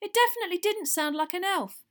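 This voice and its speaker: A woman's voice: very high-pitched, lively intonation, fast, a bit bright, voice pushed up. A woman voicing an earnest, candid teenage wizard boy with an insistent way of talking, in a storybook reading.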